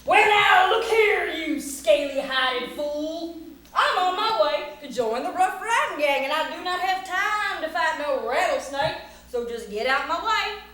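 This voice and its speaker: Plucky boastful cowboy acted by a woman, folksy Western drawl, bright and swooping, for a staged children's tall tale. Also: high-pitched, lively intonation, moderate pace, pitched above the performer's own voice. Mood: blustering, defiant. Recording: very echoey room, faint noise.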